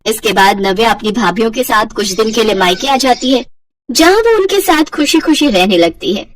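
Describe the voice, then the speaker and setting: A woman's voice: fairly high pitch, animated, moderate pace. A woman narrating a scripted moral story as a storyteller.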